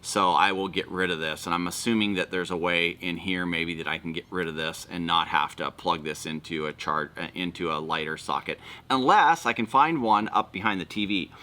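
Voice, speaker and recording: A man's voice: low-pitched, fairly quick, hardly any pauses, pushed and bright. A man hosting a casual product walkthrough, explaining things informally and step by step. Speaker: video host.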